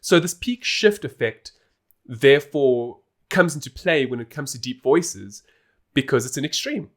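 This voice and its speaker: A man's voice: mid-range voice, expressive, unhurried. A man hosting a podcast, explaining things in a casual, informal and emphatic way. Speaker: podcast host.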